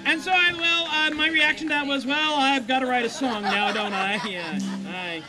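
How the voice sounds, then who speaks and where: High-voiced man joking on a stage. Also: over a noisy crowd, rough recording. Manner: rambling, self-deprecating, quick asides. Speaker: comedy singer-songwriter. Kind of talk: live comedic stage banter between songs. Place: live stage show before an audience. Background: guitar playing.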